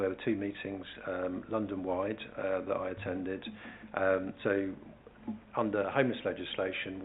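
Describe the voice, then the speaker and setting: A man with a very deep, creaky voice gives formal, hesitant answers as a council officer. His delivery is measured and barely varies in pitch, explaining things step by step at a committee meeting. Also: phone-quality sound, slight background noise.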